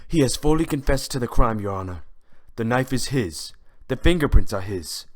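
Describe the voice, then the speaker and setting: A man's voice: medium pitch, lively intonation, normal speed, lightly acted. A man voicing a stern, skeptical buffalo police chief, speaking formally as a prosecutor in an amateur audio drama. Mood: resigned.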